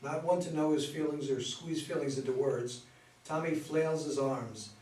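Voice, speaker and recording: A man's voice: on the high side, normal speed, warm tone. A man narrating scripted stage directions at a play reading. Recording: roomy, distant mic, faint noise.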